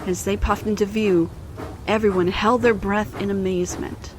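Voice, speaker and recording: A woman's voice: medium pitch, fairly even intonation, moderate pace, noisy background. A woman narrator reading a scripted children's story in storybook style.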